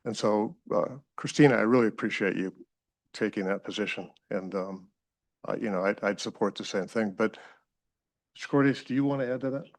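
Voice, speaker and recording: A man's voice: on the low side, fairly quick, no room sound. A man chairing a public board meeting, folksy and rambling, fond of homespun analogies.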